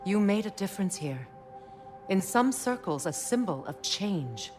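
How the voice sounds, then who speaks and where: An actress playing an earnest, steady woman leader in a video game, speaking gently and deliberately as an encouraging ally. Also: mid-range voice, faint music behind. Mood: sincere, reassuring. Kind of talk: cinematic video game acting.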